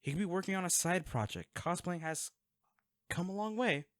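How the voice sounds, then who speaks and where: A man's voice: sits high, moderate pace, dry recording, studio quality, lightly acted. A man playing a guarded, wealthy vigilante in an audio drama, speaking in a curt, sarcastic and threatening way to the listener.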